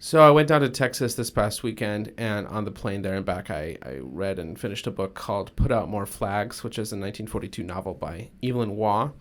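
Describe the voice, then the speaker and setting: A young man co-hosting a podcast, chatting casually and relaxed with frequent fillers. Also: lowish voice, brisk, few pauses. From United States. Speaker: podcast co-host.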